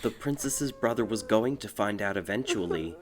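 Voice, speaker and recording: A man giving a theatrical, scripted narration of a dark fairy tale as an audiobook. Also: lowish voice, animated, normal speed. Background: music.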